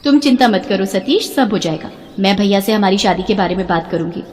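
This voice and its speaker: A woman voicing a headstrong, pampered young woman in love, earnest and insistent, in an animated melodrama. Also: medium pitch, a little fast, big-room sound, close to an everyday voice. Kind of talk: melodramatic animated story acting.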